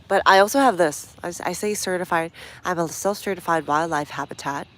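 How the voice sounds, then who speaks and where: A woman's voice: fairly low pitch, brisk. A woman with a stuffy nose hosting a casual gardening livestream outdoors, chatting to viewers as she works. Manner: chatty, reads viewer comments aloud while working.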